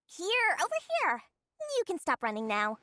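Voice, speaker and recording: A woman voicing a sharp-tongued, savvy female merchant in a game cutscene, brash and teasing. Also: high voice, sing-song, moderate pace, background noise. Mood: welcoming. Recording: game soundtrack music behind.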